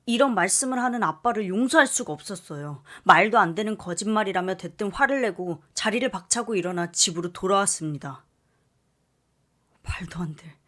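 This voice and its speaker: A woman narrating a scripted first-person story with an expressive, dramatic delivery. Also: mid-range voice.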